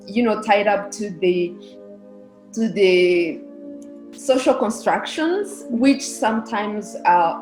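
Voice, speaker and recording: A woman's voice: medium pitch, a little mellow. A young woman from Rwanda, a guest on a podcast, answering earnestly and explaining her views at length. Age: young adult. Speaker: podcast guest, research specialist. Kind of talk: casual interview answers. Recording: echoey room.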